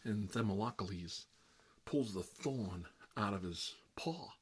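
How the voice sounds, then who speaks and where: Low-voiced, fussy man rambling in ASMR role-play. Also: lively intonation, slow pace. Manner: fussy, gossipy, petulant, rambling. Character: Marvin, fussy, petty grown man stuck babysitting. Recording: clearly noisy recording with clicks.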